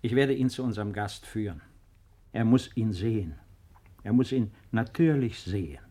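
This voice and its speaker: Principled estate-owning father, middle-aged male actor. Deliberate, earnest, unbending delivery for a 1950s radio drama. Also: fairly low pitch, warm tone, lightly acted. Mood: calm, earnest. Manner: measured, earnest, deliberate phrasing.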